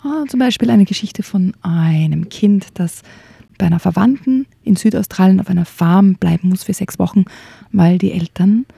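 Calm husky female co-host. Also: medium pitch, no echo. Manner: calm, measured explaining. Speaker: podcast co-host. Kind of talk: radio podcast chat.

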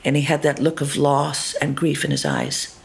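A woman actor being interviewed about her role, talking animatedly, jumping between loud asides and soft murmurs, often ending with tag questions. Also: deep voice, level tone, normal speed, gravelly. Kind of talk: conversational interview.

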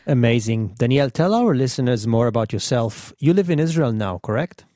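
A man hosting a radio studio interview, asking questions in a measured, attentive way. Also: medium pitch, lively intonation, warm tone, no echo.

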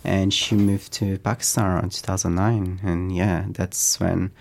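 A young man from Afghanistan speaks as an interview guest, his voice sitting very low with a gravelly texture. He talks in a relaxed, reflective way, sprinkling his story with casual fillers. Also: normal speed. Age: young adult.

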